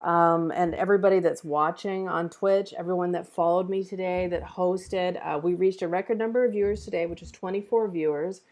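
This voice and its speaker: A woman's voice: on the low side, fairly even intonation, a little fast, hardly any pauses, darkish tone. A woman hosting an online script table read, chatting casually in an appreciative, encouraging way. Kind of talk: casual feedback chat and stream sign-off.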